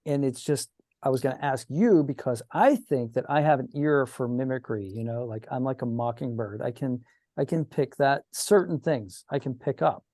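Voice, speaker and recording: A man's voice: mid-range voice, moderate pace, warm tone, no echo, clean studio sound. A man hosting a casual three-person podcast conversation, friendly and a little hesitant. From Pittsburgh, Pennsylvania. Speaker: podcast host.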